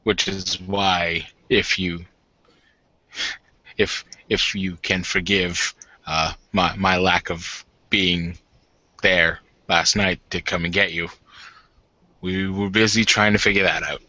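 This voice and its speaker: A deep, bright-edged warrior leader played by a man in a tabletop game. He speaks formally and deliberately, stopping to restate himself. The close, dry sound is slightly dulled. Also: flat delivery, dry recording, dull audio, exaggerated voice. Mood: grave. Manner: halting, measured, formal phrasing. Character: gruff werewolf warden, formal, deliberate, battle-minded leader.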